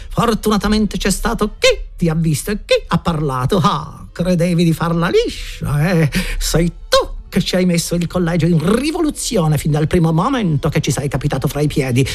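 A woman's voice: fairly low pitch, darkish tone, voice pushed up. A man voicing a spiteful, scolding headmistress in an audiobook reading, with a venomous, sneering manner. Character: spiteful female boarding-school headmistress.

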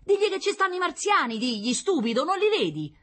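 A woman voicing a panicky neighbour who shouts urgent orders from her balcony. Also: big pitch swings, a little fast. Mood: shouting. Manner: shrill, bossy, urgent.

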